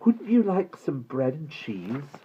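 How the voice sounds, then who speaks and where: An excitable young boy bubbles over with eager questions, as a man puts him on. The voice is lifted well above the reader's deep natural tone, and the audio is slightly dull. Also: slow pace, a little hiss or hum. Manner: eager, breathless bursts.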